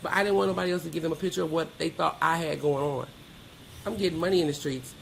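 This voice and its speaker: A woman's voice: low-pitched, quick pace, vocal fry, a little hiss or hum, low-quality recording. A middle-aged woman giving a candid interview as a podcast guest, speaking in a blunt, emphatic, plain-spoken way.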